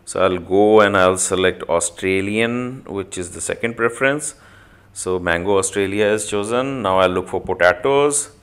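Low-pitched man narrating an app walkthrough. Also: a bit bright, clean tone. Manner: hesitant, halting delivery with frequent filled pauses. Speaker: app reviewer. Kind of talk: informal screen-recorded walkthrough narration.

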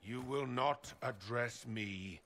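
An actor playing an arrogant, scornful templar commander in a fantasy game, speaking with haughty contempt. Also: male voice, mid-range voice, takes it slowly, a bit bright, slight background noise.

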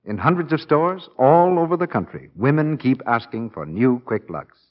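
Animated male vintage radio announcer. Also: medium pitch, normal speed. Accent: American. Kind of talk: 1940s radio sponsor announcing. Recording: dull vintage 1940s radio recording.

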